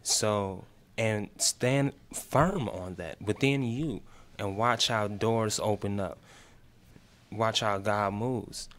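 Man, late 20s, low smooth voice, encouraging testimonial guest. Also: a bit bright. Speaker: guest, peer specialist. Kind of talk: casual interview chat. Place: small radio studio, indoors. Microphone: desk mic on stand.

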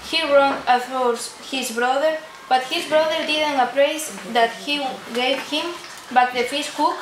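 Teenage female narrator, Spanish accent, high voice, halting storytelling, noisy distant recording. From Spain. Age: teens. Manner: halting reading in non-native English. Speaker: student narrator of a puppet show. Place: indoor room at a day care centre. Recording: echoey room from afar, noisy.

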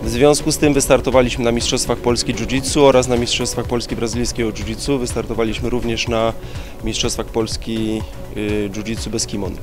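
Male sports coach interviewed over clear music. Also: mid-range voice, flat delivery, brisk. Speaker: martial arts coach and competitor. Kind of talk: on-camera interview. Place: large gym hall, indoors. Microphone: handheld reporter microphone.

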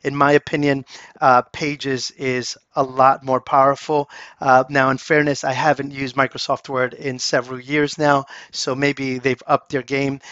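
A man narrating a casual software tutorial, chatty and enthusiastic, with lots of fillers. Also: medium pitch, little pitch movement, unhurried, dry recording. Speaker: course instructor. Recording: dull, slightly lo-fi dry voice-over.

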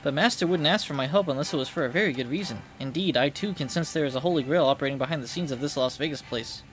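A dignified, gentle goddess played by a man speaks game dialogue in a measured, formal way. Faint music sits behind the voice. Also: a little high, close to an everyday voice. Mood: reassuring. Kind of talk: video game dialogue read aloud.

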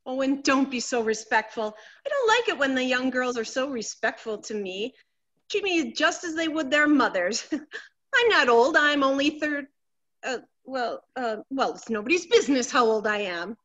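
A high-voiced, anxious wife of middle age, played by a woman, vain and fretful about herself. Her delivery is melodramatic and fluttery, full of exclamations, in the manner of a theatrical stage comedy. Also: sing-song, normal speed, fairly bright tone, lo-fi sound, full character voice. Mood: fussy, self-conscious.

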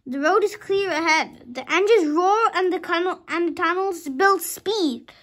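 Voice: very high voice, measured pace, a bit bright. A young child of about five reading a storybook aloud dramatically, sometimes stumbling over words and rereading them.

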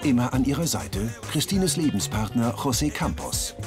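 A man's voice: mid-range voice, animated, normal speed, music in the background. A man narrating a travel documentary in a scripted voice-over.

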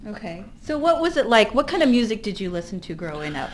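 A woman's voice: mid-range voice, big pitch swings, quick pace, slight background noise. A woman hosting a casual interview in a small backstage room, asking friendly, upbeat questions. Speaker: interviewer, host. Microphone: handheld mic.

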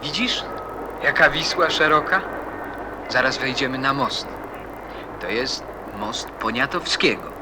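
A man's voice: mid-range voice, lively intonation, slow pace, pauses often, background noise. A man playing a rebellious, cynical teenage boy in a radio drama, insistent and moody. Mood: persistent.

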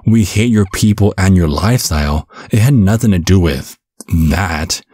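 A man acts out a bumbling, loving vampire father in an audio roleplay. His voice is deep and soft-edged with some huskiness. He speaks in a gentle, halting, reassuring way. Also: slightly rough, dry recording, clean studio sound. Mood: flustered. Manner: gentle, stammering, chuckling reassurance. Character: caring, bumbling vampire father, looks twenty-five, over a century old. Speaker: ASMR roleplay performer.

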